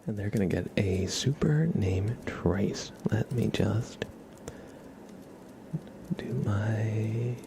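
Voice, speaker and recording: A man hosting an ASMR livestream, chatting informally in a soft-spoken to whispered voice, rambling along. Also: on the low side, slow pace, vocal fry, pauses often, noisy background.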